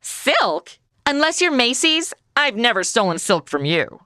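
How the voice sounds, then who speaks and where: Brash, bright, sassy wisecracker. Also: female voice, a little high, big pitch swings, measured pace, dry recording, clean studio sound, full character voice. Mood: brash, indignant. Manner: flippant, wisecracking. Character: brash, sassy modern American woman in noir world. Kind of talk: comedy radio drama acting.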